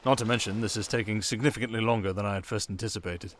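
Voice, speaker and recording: A man with a British accent playing a sardonic, softly dangerous male siren in an ASMR roleplay, with dry, theatrical sarcasm. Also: fairly low pitch, fairly even intonation, fast talker, background noise, natural voice. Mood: wry, resigned.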